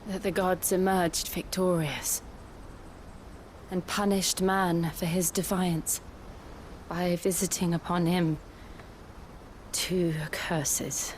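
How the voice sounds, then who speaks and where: A woman playing a composed, loyal young female companion in a video game, speaking softly and calmly. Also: fairly low pitch, slow pace, pauses often, slight background noise. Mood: solemn. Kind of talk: dramatic video game cutscene acting.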